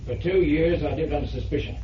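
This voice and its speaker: A man's voice: on the high side, brisk, natural voice. Man playing earnest defendant in old radio courtroom drama, formal testimony, distant and roomy. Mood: earnest, defensive. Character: accused man stubbornly recanting his false confession. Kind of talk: vintage radio drama acting. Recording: muffled vintage radio, distant roomy sound, faint noise.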